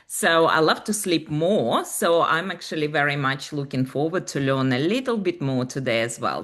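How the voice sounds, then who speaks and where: A woman's voice: sits low, fairly bright tone. A woman from Ukraine with a Ukrainian accent, speaking as a researcher on a panel in an upbeat, explanatory way over a video call. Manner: upbeat, explanatory, with non-native grammar. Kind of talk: panel presentation.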